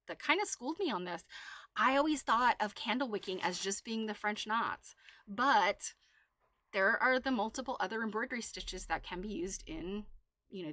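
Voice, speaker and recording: A woman's voice: mid-range voice, bright, forceful tone. A woman hosting a casual livestream, chatting and reading aloud in an enthusiastic, exclaiming way. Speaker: livestream host.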